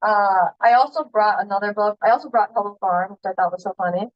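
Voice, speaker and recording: A woman's voice: mid-range voice, quick pace, no room sound, highs cut off. Woman presenting casually over a dry call. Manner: casual, with frequent ums and uhs. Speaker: student presenter. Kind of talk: class presentation. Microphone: video call.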